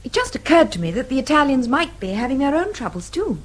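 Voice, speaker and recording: A woman's voice: high voice, big pitch swings, fast. An actress playing an outspoken, earnest young Englishwoman with a British accent in a radio drama, well-spoken and polite. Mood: indignant.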